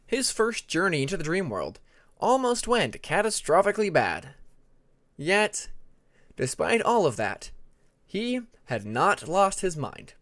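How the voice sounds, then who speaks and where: Male fiction narrator, slightly high voice, very lively intonation, deliberate dramatic delivery. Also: no echo. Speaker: audiobook narrator.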